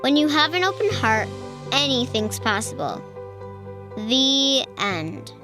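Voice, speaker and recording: A woman reading a children's storybook aloud in a deliberate storytelling manner. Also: high-pitched, big pitch swings, music in the background.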